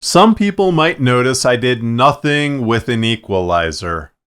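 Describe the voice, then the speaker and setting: Man, mid-pitched smooth voice, unhurried delivery, explanatory tutorial narration, plain-spoken. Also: lively intonation, fairly bright tone. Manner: measured, plain-spoken, instructional. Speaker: fiction podcast producer and narrator. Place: acoustically treated recording space.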